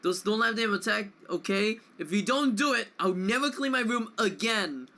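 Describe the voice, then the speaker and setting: A man's voice: sits high, lively intonation, moderate pace, bright, forceful tone. A man casually explaining a video game while he plays it. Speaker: speedrunner commentating own play. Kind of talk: casual gameplay commentary. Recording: faint background noise.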